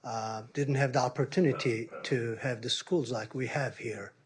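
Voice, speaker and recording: A man's voice: mid-range voice, fairly even intonation, moderate pace. An older man with a Turkish accent, making an earnest, humble public comment at a meeting and searching for his words. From Istanbul, Turkey. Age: middle-aged or older, a grandfather. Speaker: grandparent speaking as public commenter.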